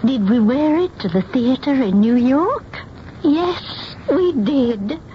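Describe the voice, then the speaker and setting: A woman's voice: sits high, lively intonation, takes it slowly, a little hiss or hum. An actress playing a dreamy, childlike seamstress in her late seventies, wistful and fanciful, in a radio drama. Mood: dreamy, delighted. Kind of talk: 1970s radio drama acting. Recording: dull vintage radio broadcast.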